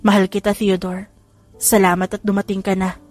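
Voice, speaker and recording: A woman's voice: medium pitch, little pitch movement, moderate pace, darkish tone, lightly acted. A Filipino woman playing a shy, easily flustered young mother in an audiobook romance, tender and hesitant. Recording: music under the voice.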